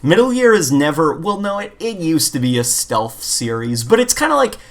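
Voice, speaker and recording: A man's voice: fairly high pitch, sing-song, normal speed. A man co-hosting a gaming podcast in casual chat, rambling with opinionated exasperation. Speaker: podcast co-host.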